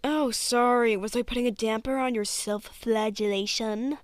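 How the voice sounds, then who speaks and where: A brash teenage witch jock from a cartoon, acted by a woman, speaks in a fairly high voice recorded close and dry. She is sarcastic and blunt, always sounding exasperated. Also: steady tone, normal speed, dry recording, lightly acted. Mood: scornful, heated. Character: brash, sarcastic athletic three-eyed teenage witch girl. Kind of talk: comic dub acting.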